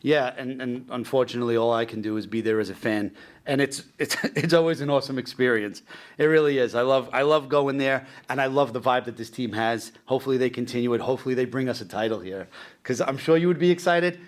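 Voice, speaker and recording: A man's voice: medium pitch, fast talker. A man in his forties hosting a school podcast interview, talking eagerly like a diehard fan, with frequent restarts. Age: 40s. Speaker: podcast host. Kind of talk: casual interview chat.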